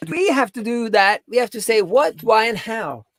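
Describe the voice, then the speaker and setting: Lively, melodic woman co-hosting casually. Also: fairly high pitch, normal speed, no room sound. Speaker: co-host of a coding livestream. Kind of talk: casual unscripted chat.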